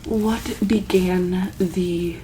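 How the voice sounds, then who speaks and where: A woman speaking softly in an ASMR medical roleplay as a calm, caring doctor, halting often with pauses and ums. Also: on the low side, noisy background. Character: calm, caring female monster-hospital doctor.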